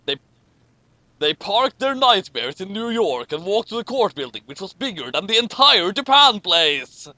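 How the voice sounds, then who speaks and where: A man's voice: high-pitched, sing-song, normal speed, pushed and bright. A man doing a casual, mocking read-aloud with commentary, sarcastic and tripping over his words as he reads. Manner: stumbles over words while reading. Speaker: co-host reading a story aloud with commentary.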